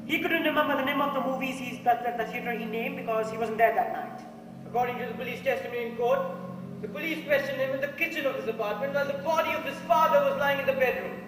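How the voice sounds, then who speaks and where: A man's voice: extremely high pitch, fast. An amateur actor, a man playing a composed, argumentative juror in a stage play. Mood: reasoned, pressing. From India. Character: composed, argumentative male juror pressing his case. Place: indoor theatre stage, recorded from far off. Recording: distant echoey hall recording, noisy.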